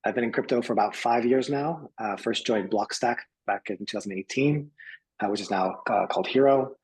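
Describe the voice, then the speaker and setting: A man from San Francisco introducing himself as a panelist in a brisk, friendly way. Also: fairly low pitch, a little mellow, husky, poor audio. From San Francisco, USA. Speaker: panelist, product lead.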